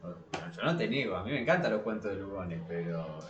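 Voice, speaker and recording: A man's voice: low voice, lively intonation, brisk, dull audio. A young man with an Argentine accent co-hosting a podcast in casual, jokey chat, repeating himself for emphasis. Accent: Argentine Spanish. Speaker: podcast co-host. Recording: echoey, distant mic, crowd noise behind.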